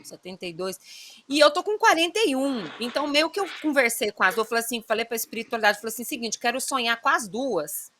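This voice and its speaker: Bright-voiced, chatty woman in her forties. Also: on the high side, animated, fast talker, a little hiss or hum. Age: 40s. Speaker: radio show co-host. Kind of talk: casual radio chat.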